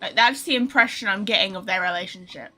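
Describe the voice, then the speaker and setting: A woman's voice: mid-range voice, quick pace, bright, forceful tone. A chatty woman giving casual, joking, sweary live commentary as she streams a game.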